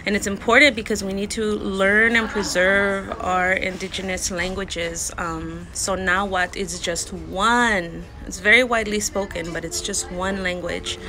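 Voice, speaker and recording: A woman in her thirties teaching vocabulary in a casual lesson, repeating each word slowly and carefully, recorded on a phone held close outdoors. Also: mid-range voice, steady tone. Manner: slow, careful word-by-word repetition. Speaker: language learner sharing lessons. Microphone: phone held close, selfie framing.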